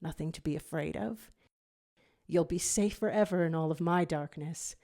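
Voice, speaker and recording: A woman's voice: low-pitched, moderate pace, dark tone. A woman voicing a brooding, tormented male jackal villain, grandiose and commanding, in a dramatic story reading. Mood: brooding, tender.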